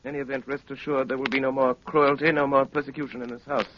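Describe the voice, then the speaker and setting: Actor as a suave gentleman detective, muffled old radio. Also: mid-range voice, flat delivery, natural voice. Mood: firm. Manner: formal, measured, precise. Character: cool, gallant, self-assured gentleman detective. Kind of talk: 1930s radio acting. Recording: muffled, noisy 1930s radio broadcast.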